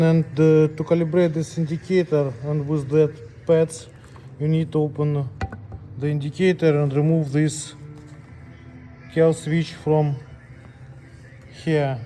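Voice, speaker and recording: Higher-voiced man giving step-by-step instructions, mellow tone. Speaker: scale technician demonstrating calibration. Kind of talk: hands-on tutorial, unscripted step-by-step instructions.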